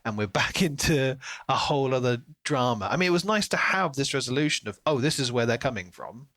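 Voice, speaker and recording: A man's voice: mid-range voice, big pitch swings, a little fast, fairly bright tone, dry recording. Man chatting casually about books, dry close sound. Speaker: podcast co-host. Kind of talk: casual book discussion.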